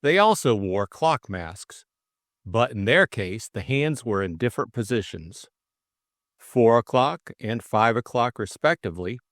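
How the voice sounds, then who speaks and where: A man's voice: mid-range voice, expressive, a little slow, fairly bright tone, no room sound, clean studio sound. A man reading a classic mystery audiobook as scripted dramatic narration.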